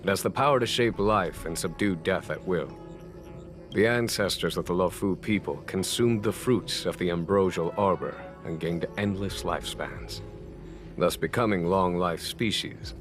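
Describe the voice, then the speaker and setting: An actor playing a calm, wry military general in a video game, speaking in a measured, formal and courteous way. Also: male voice, low-pitched, close to an everyday voice. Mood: solemn storytelling. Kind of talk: scripted video game dialogue. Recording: background music under the voice.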